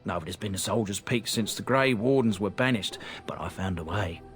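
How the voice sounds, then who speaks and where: An actor playing a talkative, ingratiating travelling trader in a video game, speaking in a folksy storytelling way. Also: male voice, lowish voice, fairly quick, soft background music, lightly acted. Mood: earnest. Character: chatty, ingratiating male travelling trader with a family grievance. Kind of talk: video game dialogue acting.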